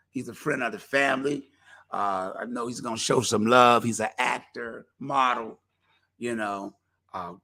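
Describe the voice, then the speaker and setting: A gravelly, low-toned man hosts a community talk show by himself. He speaks in a folksy, animated way, rising into preacher-like appeals to his listeners. His voice is getting worn and tired from talking too long. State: losing his voice. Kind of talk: casual solo talk show promotion.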